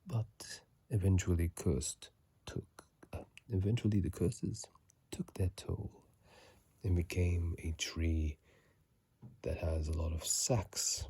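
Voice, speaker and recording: A hushed ASMR host whispers right into a phone mic. The voice is extremely breathy, with a crackly fry underneath and a soft, dark tone. The delivery is unhurried, moving between reading and casual commentary. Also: low voice, dry recording. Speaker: video creator and host. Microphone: phone mic held close.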